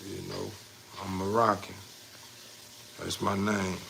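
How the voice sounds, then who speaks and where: A man's voice: deep voice, flat delivery, slow, creaky, pushed and bright, a little hiss or hum. An American man hosting a casual livestream, rambling in a folksy, encouraging way with repeated catchphrases. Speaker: livestream host of a dog breeder channel.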